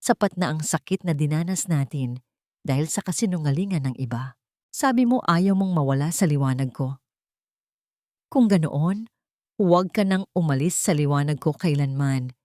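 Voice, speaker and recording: A woman narrator voicing a gentle, forgiving young village woman in an audio story, softly and earnestly. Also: low voice, animated, normal speed, dry recording, studio quality. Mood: tender, forgiving. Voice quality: soft.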